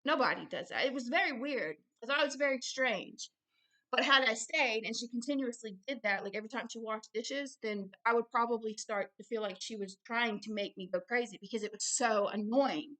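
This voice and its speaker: A woman's voice: a little high, brisk, pushed and bright, poor audio. A woman hosting informal religious commentary, reading aloud and adding personal asides in an earnest, insistent way, often repeating phrases for emphasis. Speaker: host and religious teacher.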